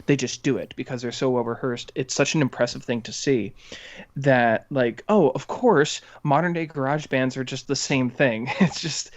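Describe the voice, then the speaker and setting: A man's voice: mid-range voice, moderate pace. A young man co-hosting a podcast, discussing music casually in an enthusiastic, informal way. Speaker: podcast co-host.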